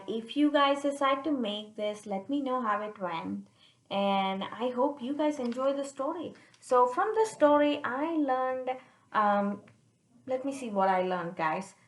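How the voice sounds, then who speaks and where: A woman's voice: medium pitch, reverberant. A woman reading a storybook aloud to young children, often turning to the listeners with chatty questions. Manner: addresses listeners directly with checking questions.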